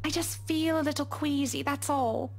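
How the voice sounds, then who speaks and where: A woman playing a composed young wife in a visual novel game, reassuring and gently teasing. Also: sits high, moderate pace. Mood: weary reassurance. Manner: calm, reassuring, dryly teasing.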